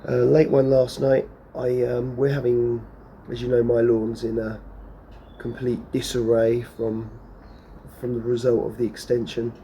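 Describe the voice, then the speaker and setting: A hoarse man talks casually to camera outdoors, his voice worn and close to giving out. He rambles, trailing off and restarting with plenty of fillers. His tone stays flat and even, with a dark, mellow timbre. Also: medium pitch, unhurried, a little hiss or hum. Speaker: lawn care vlogger. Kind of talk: casual vlog talk to camera.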